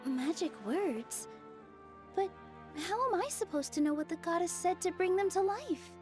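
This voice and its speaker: Young forest fairy heroine for a game: high, gentle, earnest, light music behind. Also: female voice, lively intonation, normal speed. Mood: thoughtful. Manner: soft, earnest, thoughtful. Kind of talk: video game voice acting.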